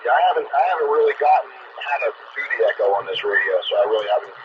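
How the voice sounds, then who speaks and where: A man's voice: lowish voice, quick pace. A middle-aged man chatting casually over two-way radio in a joking, folksy way. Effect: two-way radio transmission. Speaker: amateur CB radio operator. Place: heard through a CB radio receiver. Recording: noisy, muffled CB radio signal.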